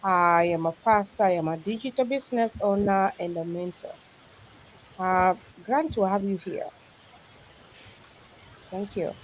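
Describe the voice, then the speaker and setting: A woman with a Kenyan accent gives a self-introduction as a panelist. Her pitch is average for a woman, and faint hissy noise sits behind her voice. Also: a little slow, long pauses, poor audio. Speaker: pastor, panelist.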